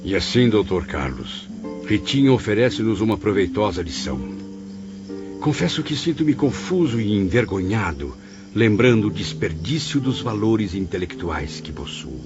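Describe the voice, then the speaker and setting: A man's voice: on the low side, sing-song, normal speed. A man playing a remorseful spirit writer who recounts things in a solemn, literary, declamatory way, as in an audio novel. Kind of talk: audio novel narration in character. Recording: dull muffled audio under music.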